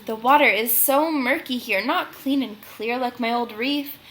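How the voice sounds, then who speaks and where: A woman's voice: a little high, unhurried, a bit bright, slight background noise. A woman voicing a fussy, stubborn old male turtle in a children's storybook. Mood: fretful.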